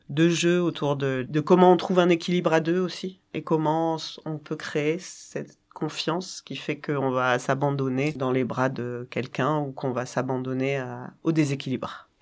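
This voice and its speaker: A woman, dramaturge of a circus company, explaining their work thoughtfully in an interview, often searching for words. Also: low voice.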